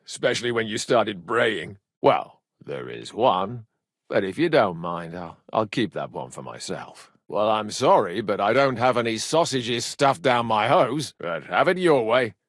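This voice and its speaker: An actor playing a cheeky, good-humored medieval rogue and loyal younger brother in a video game, with colloquial, irreverent banter. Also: male voice, lowish voice, big pitch swings, unhurried, dry recording, studio quality. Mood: relaxed, earnest. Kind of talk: video game dialogue acting.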